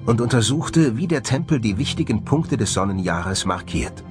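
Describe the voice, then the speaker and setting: A man's voice: lowish voice, expressive, normal speed, over loud music. A man presenting a documentary in scripted narration.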